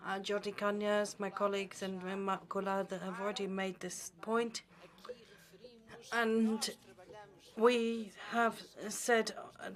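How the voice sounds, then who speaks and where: Even-toned woman interpreting live. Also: medium pitch. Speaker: simultaneous interpreter. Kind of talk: live interpreting of a formal meeting speech.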